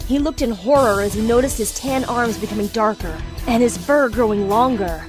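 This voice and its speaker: A woman's voice: on the high side, moderate pace, over music. A woman narrator reading a scripted story in an audio drama.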